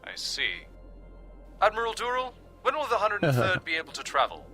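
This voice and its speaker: Senior space fleet commander played by a man: curt and demanding, lively inflection, sharp edge, comic dub. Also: high voice, normal speed, heavily acted. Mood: skeptical. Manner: clipped, commanding, sardonic. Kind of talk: scripted comic dub acting. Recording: music under the dialogue.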